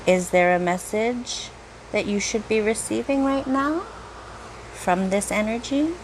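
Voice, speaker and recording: Slow-paced woman guiding a meditation. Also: mid-range voice, a little hiss or hum, rough recording. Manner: gentle, unhurried, with long pauses. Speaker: meditation guide, reiki teacher. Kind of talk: guided meditation, slow soothing narration.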